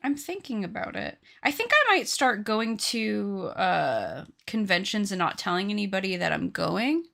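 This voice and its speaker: A woman's voice: medium pitch, big pitch swings, moderate pace, vocal fry, pushed and bright. A woman in her late twenties chatting casually with her live-stream viewers while she crafts, joking and teasing herself. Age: 20s. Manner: chatty, joking with chat, self-deprecating.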